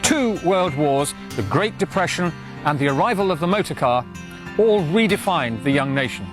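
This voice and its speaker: Man, art teacher, animated delivery, slightly high voice, faint music behind. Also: normal speed. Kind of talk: presenting to camera outdoors. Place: windswept coast outdoors.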